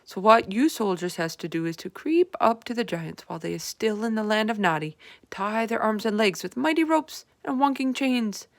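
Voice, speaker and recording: A woman's voice: medium pitch, lively intonation, normal speed, no echo, voice pushed up, natural voice. A woman voicing a big, friendly old male giant who mangles his words, read aloud from a storybook. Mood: earnest. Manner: mangled words, folksy, earnest.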